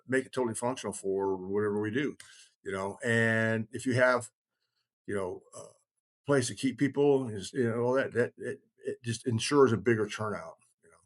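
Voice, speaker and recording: Middle-aged male podcast host, average pitch. Blunt, folksy, sincere, loosely rambling conversation. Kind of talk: casual rambling group discussion.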